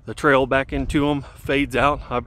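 A man's voice: mid-range voice, moderate pace. A man in his thirties hiking outdoors, filming himself at arm's length and chatting casually with fillers and restarts. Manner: relaxed rambling with fillers and restarts. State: walking downhill. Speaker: hiker vlogger. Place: outdoors on a mountain trail. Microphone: camera held at arm's length while walking.

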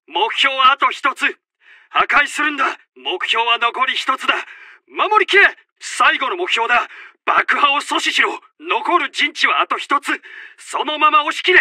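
Casting: stern male wartime commander for game announcer lines, very high strained pitch, sharp forceful edge, clipped urgent delivery. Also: no room sound, heavily acted. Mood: urgent, commanding. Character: stern, urgent male Japanese army commander barking orders. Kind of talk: military video game voice lines. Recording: dry, close game voice files.

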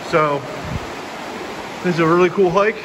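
A man's voice: high-pitched, a little slow, noisy background. A young man casually narrating a hike through a cave, rambling with lots of fillers, filmed on a handheld camera. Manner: relaxed, rambling, filler-heavy commentary. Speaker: hiking vlogger. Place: inside a cave. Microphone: handheld camera held by the speaker.

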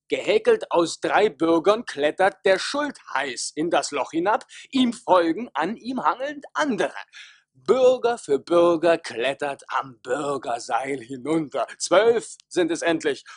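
An excitable manservant in a radio play. His husky voice swoops up and down as he recounts with theatrical scorn and disbelief. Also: a little high, slow pace, no echo, studio quality, heavily acted. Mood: incredulous, recounting. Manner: theatrical, incredulous storytelling. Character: excitable, scornful manservant wooing a guard girl.

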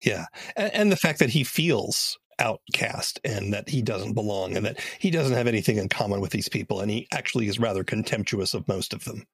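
A man interviews in a conversational way, as a podcast host. His voice is on the low side, with a rough husky grain and noticeable fry at the edges. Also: expressive, brisk, hardly any pauses, fairly bright tone, no room sound, clean studio sound. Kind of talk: conversational interview with recaps and questions.

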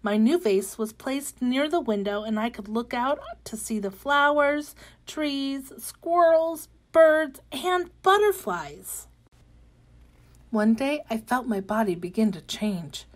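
A woman voicing a cheerful, wide-eyed young caterpillar turned butterfly in an expressive, gentle children's storybook reading. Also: fairly high pitch, slow. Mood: gentle wonder. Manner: gentle, expressive, with excited exclamations. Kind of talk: animated read-aloud of children's picture book.

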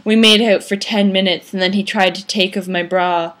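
A woman's voice: mid-range voice, fairly even intonation, normal speed, a bit bright. A young woman reading fan fiction aloud in a theatrical, mock-dramatic way, recorded on a webcam microphone in a small room. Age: young adult. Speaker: amateur reader. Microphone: webcam or laptop microphone at arm's length.

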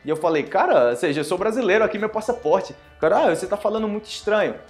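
A young man from Brazil's Northeast teaches to camera in a small indoor room, his Northeastern Brazilian accent plain and his voice sitting fairly high. He talks in an animated way, acting out short dialogues as he goes. Soft music plays faintly beneath him. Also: rapid-fire. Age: young adult. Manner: animated, gestures a lot, acts out dialogues. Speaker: Portuguese teacher. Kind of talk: online language lesson to camera.

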